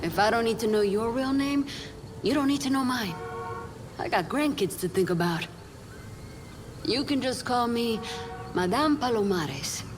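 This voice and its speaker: An actress playing a tough, no-nonsense grandmother in a video game, brisk and matter-of-fact. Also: a little high, expressive, music in the background. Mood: wary, curt. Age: older. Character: tough, no-nonsense grandmother leading a neighborhood watch. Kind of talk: video game cutscene acting.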